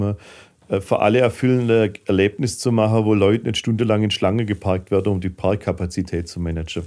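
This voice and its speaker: Low-voiced middle-aged man chatting candidly. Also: a little mellow. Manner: candid, colloquial, elaborating. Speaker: guest, filmmaker and managing director. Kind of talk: casual podcast interview chat.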